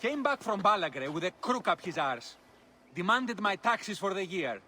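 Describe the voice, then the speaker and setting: An actor playing an angry farmer whose farm was burned, speaking with bitter sarcasm. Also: male voice, high-pitched, sing-song, moderate pace, sharp tone, a little hiss or hum, heavily acted.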